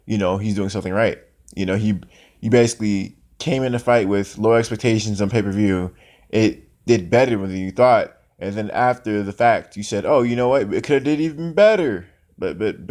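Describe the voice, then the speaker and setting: A man's voice: on the low side, brisk, darkish tone. A man talking casually and off the cuff as a sports vlogger, opinionated and conversational. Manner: conversational, opinionated, peppered with "man" and "you know". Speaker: boxing commentary vlogger.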